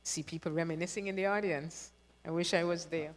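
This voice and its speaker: A woman's voice: lowish voice, fairly even intonation, fairly quick. Woman hosting in an echoing hall. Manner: playful, chatty, asks the audience questions. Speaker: awards show host. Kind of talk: live awards ceremony hosting. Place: large hall. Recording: echoey hall with long reverb.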